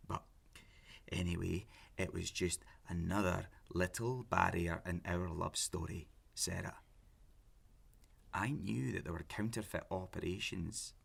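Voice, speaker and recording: A man's voice: low voice, creaky, a bit bright, breathy edge, full character voice. An actor playing an obsessive, clingy lovelorn man in an audio drama, pleading and intense. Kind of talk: naturalistic audio drama acting.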